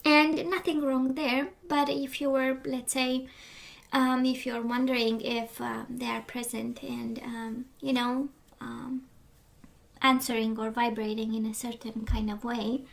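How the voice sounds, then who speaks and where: Woman tarot reader, light high voice, going hoarse, rambly, slips into whispers. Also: level tone, a little slow. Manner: rambling, many fillers, drops into whispers. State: losing her voice. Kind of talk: casual spoken tarot reading.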